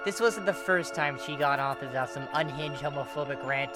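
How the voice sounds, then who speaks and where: A man reading fan fiction aloud as narrator, in an expressive scripted storytelling style. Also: fairly high pitch, moderate pace, music in the background.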